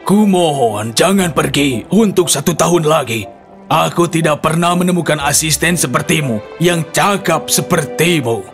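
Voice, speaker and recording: A strong, kindly sorcerer from a storybook, played by a man. He sounds commanding and protective, in a moderately high male voice over soft music. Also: moderate pace, natural voice. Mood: pleading. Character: tall, strong, kindly sorcerer master of a haunted castle.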